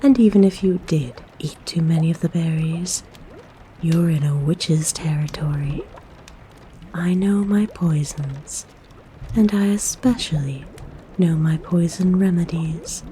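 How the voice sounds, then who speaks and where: A woman playing a kind, flirtatious forest witch in a soft, breathy, gently coaxing ASMR roleplay. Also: low-pitched, a little slow, slight background noise. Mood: reassuring.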